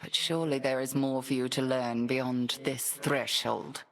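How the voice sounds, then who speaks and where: An actress playing a stern, dignified headmistress in a video game, speaking formally with measured, archaic diction. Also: female voice, a bass voice. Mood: solemn, measured.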